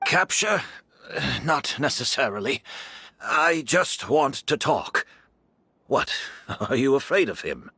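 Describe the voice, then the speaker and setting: An actor playing a frail, calm, resigned gentleman in a video game, speaking in a measured, polite way. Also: mid-range voice, slightly rough, heavily acted. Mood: composed.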